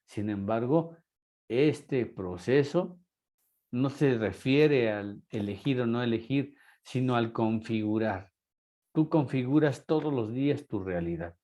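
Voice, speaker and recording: A man's voice: medium pitch, expressive, takes it slowly, mellow. A man with a Mexican accent, lecturing a class and asking rhetorical questions. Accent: Mexican Spanish. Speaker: teacher.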